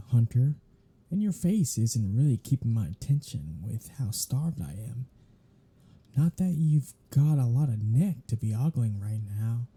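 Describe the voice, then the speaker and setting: A captive, starving vampire played by an ASMR performer speaks in a very husky, dark voice. The delivery is slow and intimate, with wry, flirtatious teasing that frays toward desperation. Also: medium pitch, exaggerated voice. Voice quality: breathy, husky. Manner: wry teasing, halting, slipping into pleading. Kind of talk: intimate ASMR roleplay acting.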